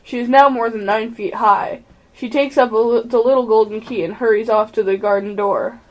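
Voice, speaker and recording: A woman's voice: on the high side, steady tone, moderate pace. A female narrator reading scripted storybook narration for an amateur radio play. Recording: faintly noisy amateur recording.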